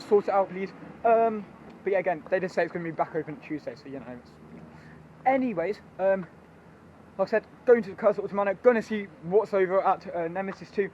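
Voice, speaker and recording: Hoarse, high-voiced young man. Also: muffled recording, a little hiss or hum. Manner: chatty, rambling, excitable, many ums. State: hoarse, losing his voice. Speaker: theme park vlogger. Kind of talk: walking vlog commentary. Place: outdoors in a theme park.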